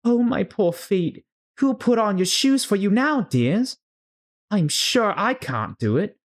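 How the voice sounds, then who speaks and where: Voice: medium pitch, very animated, slow pace, pitched above the performer's own voice. A storyteller voicing a dramatic, bewildered young girl who frets and scolds herself in a storybook. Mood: fretful, musing. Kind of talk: storybook character read-aloud.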